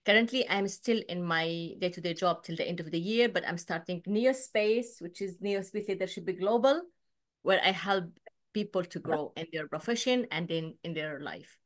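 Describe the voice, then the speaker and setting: A woman's voice: fairly low pitch, moderate pace. A middle-aged woman from Syria, a native Arabic speaker, introducing herself informally on a video call. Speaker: club guest, business coach.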